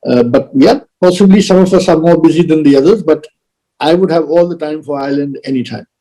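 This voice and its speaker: A man speaking as a guest on an online travel panel, measured and a little hesitant. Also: a little high, mellow, rough recording. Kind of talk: video call discussion.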